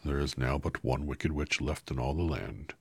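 Stern wizard head, man doing it, very deep, raspy, slow, commanding. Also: no echo, exaggerated voice. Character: great and terrible wizard as giant head, stern.

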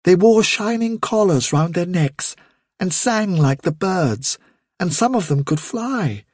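A storybook mother rabbit played by a man spins a fairy tale for her young. The voice is raised high and lilting, with a slightly husky grain and swooping melody. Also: expressive, slow pace, a little mellow, dry recording, studio quality, heavily acted. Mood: rapt, storytelling.

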